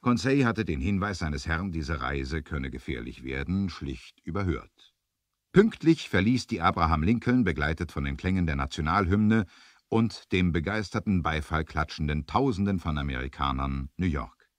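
A man's voice: extremely low pitch, lively intonation, moderate pace, dry recording. A man narrating an adventure audio drama in a theatrical, emphatic storytelling manner, as on a 1970s studio record.

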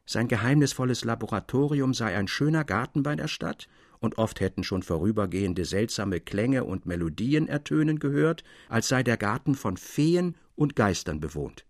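An actor playing a storyteller among literary friends, speaking in a measured, formal and expressive way. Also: male voice, medium pitch, no room sound, clean studio sound. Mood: measured narration. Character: male storyteller Theodor, literary friend reading his tales, defending fragments. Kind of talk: literary audio drama narration.

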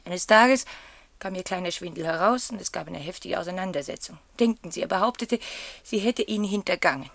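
Proud young wife, closely recorded. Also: female voice, fairly low pitch, fairly quick, vocal fry, a bit bright, no room sound. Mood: troubled, confiding. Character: proud, troubled young wife, loyal and evasive. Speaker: actress in a radio drama. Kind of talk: German radio play dialogue.

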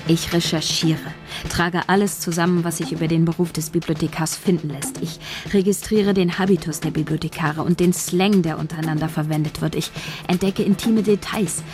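Woman doing a shy young scriptwriter, quiet earnest inner voice, lowish pitch, music under it. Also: fairly even intonation, a little fast, close to an everyday voice. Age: young adult. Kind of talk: radio play narration in first person. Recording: studio radio play over music.

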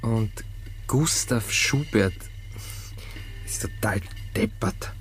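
A man's voice: lowish voice, drawn-out words, faint music behind. An actor playing a doubting sidekick with an Austrian accent who teases and coaches his partner in a naturalistic radio drama. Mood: coaching.

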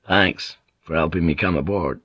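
A man's voice: low-pitched, normal speed, a bit bright, slightly rough, no echo, muffled recording, pitched below the performer's own voice. A man voicing a guarded, plain-spoken ship's pilot in an audiobook, reserved and questioning.

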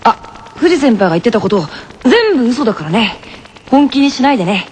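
Woman doing a cocky teenage tennis boy, anime radio skit, blunt and put-upon, lively intonation, light background noise. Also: a little high, fairly quick. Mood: exasperated, cool. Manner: blunt, indignant, cool.

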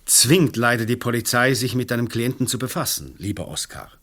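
A flustered police magistrate in a radio drama, husky mid voice, formal and defensive. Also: male voice, medium pitch, animated, brisk, fairly bright tone. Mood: cautiously firm. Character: flustered, deferential male examining magistrate of the police. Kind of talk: radio play acting.

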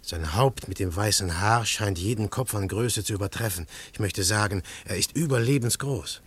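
A fairly deep male voice with a husky grain. It is an observant newcomer in a radio play, reflective and full of wonder. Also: moderate pace. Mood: wondering, curious. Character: observant, fascinated male newcomer among conspirators, narrating. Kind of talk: German radio play acting.

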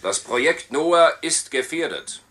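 An actor playing an urgent propaganda announcer in a radio drama, exhorting citizens in a declamatory manner. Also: male voice, fairly high pitch, expressive, a little slow, a bit bright. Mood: solemn appeal. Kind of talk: German radio drama, public proclamation.

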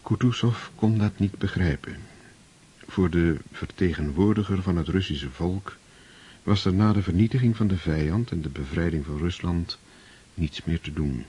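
A man narrating a radio play in a measured, solemn way. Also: sits low, mellow, husky.

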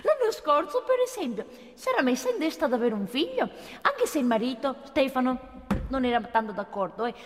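An actress playing a fond, plain-spoken gossip, telling a story haltingly in a theatrical monologue. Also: female voice, high voice, very animated. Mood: confiding. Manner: halting, folksy, with frequent tag questions. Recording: hall reverb, faint music behind.

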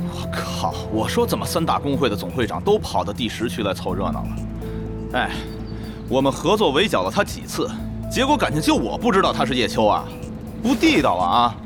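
A man acting a blunt, excitable guild leader in a Chinese radio drama, brash and full of slangy exclamations. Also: medium pitch, moderate pace. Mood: indignant, blunt. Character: blunt, excitable male guild leader in online-game world. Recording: background music under the voice.

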